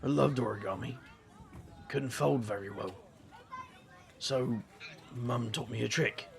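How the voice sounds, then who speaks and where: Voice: medium pitch, slow, creaky. An actor playing a wry, affectionate adventuring spellcaster in an audio drama, with a playful, rambling way of talking. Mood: reflective.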